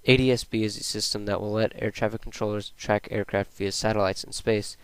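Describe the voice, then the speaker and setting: Even-toned young man reading a presentation. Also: lowish voice, fairly quick, clear, resonant tone, no room sound. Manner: plain, read-aloud delivery. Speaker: student presenter. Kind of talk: scripted narration of a school presentation.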